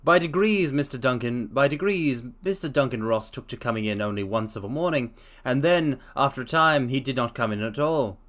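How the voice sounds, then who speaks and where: A man's voice: mid-range voice, a little fast, hardly any pauses, a bit bright, natural voice. A man voicing an earnest middle-aged shopkeeper who tells his own story plainly.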